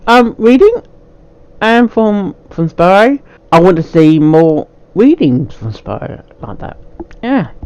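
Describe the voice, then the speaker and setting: A man with a slightly high voice talks casually as host of a reaction video. His pitch jumps around in a very lively way. He speaks without a script, stumbling over words and going back to repeat phrases. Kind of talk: casual unscripted reaction commentary.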